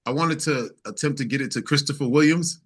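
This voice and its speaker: A man's voice: medium pitch, a little fast, husky. A man speaking as an interview guest and singer-songwriter, telling stories in casual, informal, easygoing chat.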